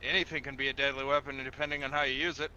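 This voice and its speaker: A man playing a blunt law officer in an online game roleplay, deadpan and commanding with dry sarcasm. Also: mid-range voice, rapid-fire. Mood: dry, needling. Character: blunt, dryly needling male law officer. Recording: muffled game voice chat, faint noise.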